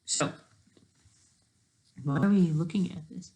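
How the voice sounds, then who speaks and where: A person thinking aloud in casual, rambling, digressive commentary as a video creator, with a creaky voice. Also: mid-range voice, slow pace, mellow.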